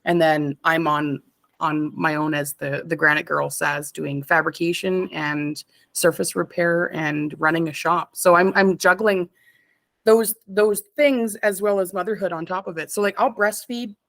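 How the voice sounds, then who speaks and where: A woman's voice: fairly low pitch, fairly even intonation, a little slow, creaky, a bit bright, no echo. A woman in her thirties from Canada, chatting casually as an interview guest, rambling and laughing often. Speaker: interview guest, stone fabricator. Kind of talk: casual chat.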